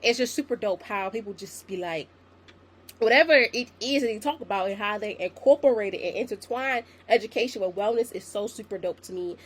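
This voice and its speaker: A young woman in her twenties hosting a solo podcast, slightly raspy from a sinus infection, chatty and encouraging as she talks to her listeners. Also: mid-range voice, brisk. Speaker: podcast host. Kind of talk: casual solo podcast talk.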